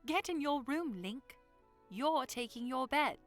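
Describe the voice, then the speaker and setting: A woman acts out a young noblewoman with a high voice. She is spirited and curious, teasing and insistent but well-mannered, in an audiodrama with faint music behind her. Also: lively intonation, unhurried. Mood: stubborn, teasing. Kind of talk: audiodrama acting.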